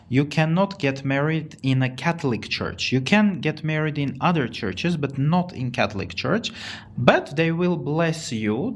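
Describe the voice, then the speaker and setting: A man with an Armenian accent teaching English on a live stream. He talks in a casual way, reads the chat aloud, and repeats and rephrases words slowly for learners. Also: mid-range voice.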